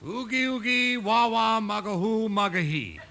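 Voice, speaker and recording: High-pitched gibberish-chattering chief. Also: male voice, expressive, unhurried, sharp tone, heavily acted. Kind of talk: 1950s radio comedy acting. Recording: very noisy old radio broadcast.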